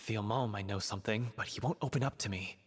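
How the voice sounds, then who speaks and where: A man's voice: lowish voice, quick pace, breathy edge, in a large hall. An actor playing an earnest young man from a theater troupe in a game, speaking heartfelt about the director he loved. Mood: grieving. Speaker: troupe member in love with the late director. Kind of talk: game voice acting.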